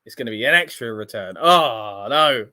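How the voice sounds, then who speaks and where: A man co-hosting a wrestling livestream, chatting casually and getting excited in bursts. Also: medium pitch, moderate pace, no echo, studio quality. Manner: excitable, talks in bursts with restarts.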